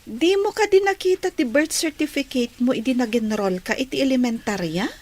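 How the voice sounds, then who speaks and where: A woman's voice: high voice, animated, normal speed. A woman with a Filipino accent acting a curious, teasing young sweetheart in a radio drama.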